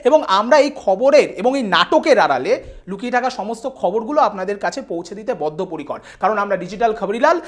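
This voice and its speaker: A woman's voice: medium pitch, very animated, quick pace. A woman presenting news commentary in a theatrical, sarcastic, rhetorical manner. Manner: theatrical, rhetorical, sarcastic emphasis with repeated questions. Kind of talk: scripted news commentary for an online channel.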